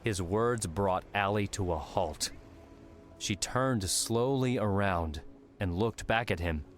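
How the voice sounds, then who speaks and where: A man narrates fiction in a very deep voice. It is a scripted audiobook reading, with soft music behind him. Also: slow pace.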